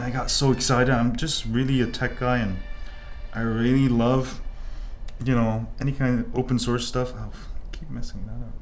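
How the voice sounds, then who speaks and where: A man who grew up in Korea, a native Korean speaker, casually talking through a soldering tutorial at a workbench, chatty and rambling. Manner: chatty, jumps into excited high exclamations and mutters asides. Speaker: 3D printing tutorial host. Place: indoor workbench. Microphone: off-camera, hands-only shot at workbench.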